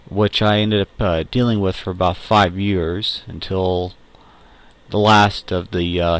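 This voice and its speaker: A young man giving a casual personal monologue in a rambling, halting way, with frequent pauses. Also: sits low. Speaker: audio log host.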